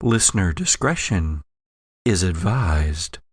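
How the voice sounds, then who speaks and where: Slow, low male announcer with lively intonation. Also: breathy edge, dry recording, studio quality. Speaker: podcast announcer. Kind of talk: scripted disclaimer announcement.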